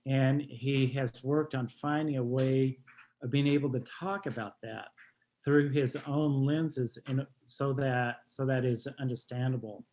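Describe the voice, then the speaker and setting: A man, a minister, giving an informal, halting and deliberate introduction at an online workshop. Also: mid-range voice, steady tone, a little mellow. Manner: halting, deliberate, with frequent pauses. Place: online video call.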